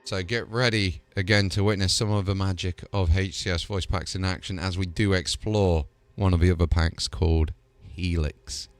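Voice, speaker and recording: A man's voice: low voice, fairly even intonation, normal speed, hardly any pauses, no room sound, soft background music. A man hosting a video, talking in an upbeat, chatty way. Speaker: host. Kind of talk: casual video commentary.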